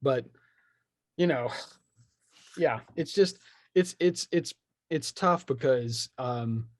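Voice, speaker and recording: A man's voice: mid-range voice, slow, dry recording, clean studio sound. A man in a casual podcast chat, opinionated and rambling as he thinks aloud. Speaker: podcast speaker discussing film franchises.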